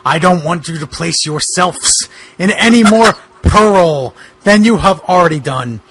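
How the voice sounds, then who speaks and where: A man voicing an earnest, gentle bogeyman wrestler in a comic fan fiction reading, speaking in stilted melodrama. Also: high voice, animated, a little slow, husky, exaggerated voice. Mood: grateful.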